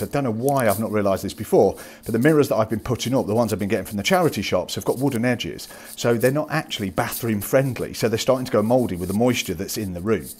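A man's voice: fairly low pitch, darkish tone. A man in his forties from the UK, chatting through practical tips as he walks around an empty rental house, speaking into a wired earphone mic. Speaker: landlord vlogger. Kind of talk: casual walkthrough vlog. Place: empty house and parked car.

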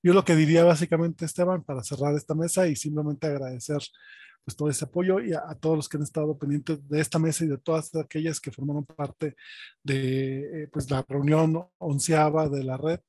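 A middle-aged man wraps up a panel in a mellow, husky voice, pausing and trailing off as he goes. The sound is dry and close. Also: a little high, steady tone, dry recording. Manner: hesitant, fading out at sentence ends. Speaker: panel moderator. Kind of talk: closing remarks on a video call. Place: office with bookshelves.

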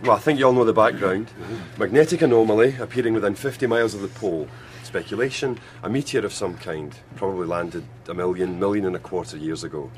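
An actor playing a calm, wry researcher in a radio drama, briefing his team in a clipped, matter-of-fact way. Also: lowish voice, quick pace, hardly any pauses, slight background noise, lightly acted.

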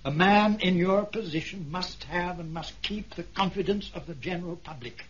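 An actor playing a blustering, pompous British banker knight in a 1970s radio drama. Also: male voice, high-pitched, normal speed, breathy edge. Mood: reasoning, firm. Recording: dull, noisy 1970s radio recording.

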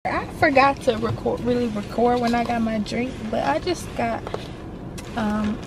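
A woman's voice: fairly high pitch, a little slow, gravelly. A young adult woman chatting casually to the camera as a vlogger, in informal, slangy everyday talk. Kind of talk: casual vlog chat to camera. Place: small indoor rooms and inside a car. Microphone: handheld or tripod camera at close range. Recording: noisy, with vehicle and street ambience.

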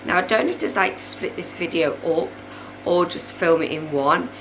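A young woman in her twenties, a mother of three, chatting casually to camera in her living room with a hoarse, failing voice and lots of fillers. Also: fairly low pitch, normal speed, music in the background, rough recording. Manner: chatty, rambling, many fillers. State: hoarse, voice going. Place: living room at night, small indoor room.

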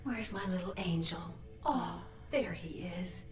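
A doting, smothering motherly voice in a video game, fussing over her charge. Her voice is a little low and sounds far off in a roomy space, with loud game music underneath. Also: expressive, a little slow. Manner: doting, fussy. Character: smothering motherly ship's computer woman. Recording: echoey, distant, game music behind.

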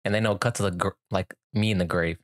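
A man's voice: sits low, fairly even intonation, quick pace, no room sound. A man in his twenties giving casual, slangy live commentary on a cartoon, full of exclamatory asides, into a close microphone in a small room. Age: 20s. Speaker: reaction video host. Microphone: close microphone on a boom arm, with headphones.

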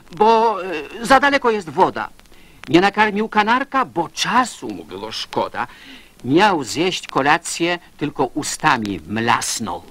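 A man's voice: fairly high pitch, fairly bright tone. A man giving a theatrical recitation of a poem for children. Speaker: poetry reciter.

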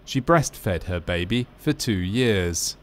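A man with a fairly low voice narrates a training film. His delivery is scripted, measured and matter-of-fact. There is some ambient noise behind him. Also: expressive, fairly bright tone.

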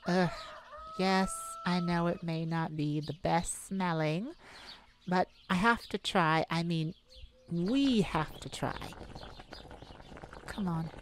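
A woman's voice: on the low side, takes it slowly, long pauses, a little hiss or hum, rough recording. A woman playing a kind, anxious mage in an ASMR audio roleplay, talking hesitantly and intimately to a childhood friend. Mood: gentle, hopeful. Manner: hesitant, stammering, soft-hearted worrier.

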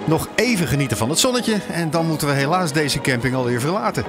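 A man reading scripted voice-over narration for a travel program in a measured tone. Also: on the high side, lively intonation. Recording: background music under the voice. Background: music.

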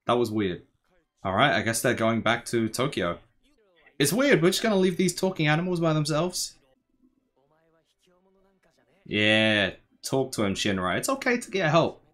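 A young man giving casual, slangy reaction commentary, musing aloud in a laid-back, tired, low-energy way. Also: fairly bright tone. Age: young adult. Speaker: anime reaction host. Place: small bedroom, indoors.

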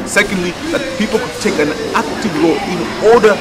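A man's voice: high voice, fairly quick, a loud crowd, heavy background noise. A man explaining methodically, as a project facilitator in a documentary interview. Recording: distant mic under background music. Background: music.

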